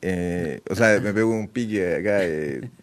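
A Chilean man, co-host of a comedy talk show, telling self-mocking stories with a deadpan touch. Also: sits low, unhurried. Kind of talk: comic banter.

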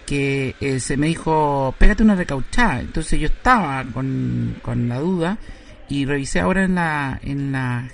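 A man's voice: mid-range voice, lively intonation, unhurried, gravelly, a bit bright. A man voicing a chatty, nosy woman caller who keeps pressing with questions on a prank call. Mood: pestering.

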